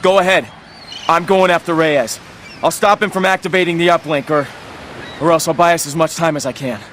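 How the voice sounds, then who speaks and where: An actor playing a bold, earnest young superhero in a video game, talking in a plain-spoken, defiant way. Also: male voice, on the high side, fairly even intonation, a little fast, a little hiss or hum, lightly acted. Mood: urgent, determined. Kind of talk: video game cutscene acting. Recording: loud music over the voice.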